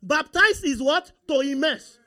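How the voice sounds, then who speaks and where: A man's voice: very high-pitched, animated, unhurried, bright, forceful tone. Nigerian preacher quizzing his congregation. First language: Yoruba. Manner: call-and-response, rhetorical questions to the congregation. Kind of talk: sermon.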